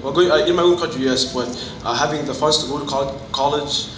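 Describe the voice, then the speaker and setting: A man in his twenties from Fiji with a Fijian accent, giving a personal testimony to a group in an earnest, halting way. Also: on the high side. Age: 20s. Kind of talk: personal testimony, informal public speaking. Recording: roomy, distant mic, noticeable background noise.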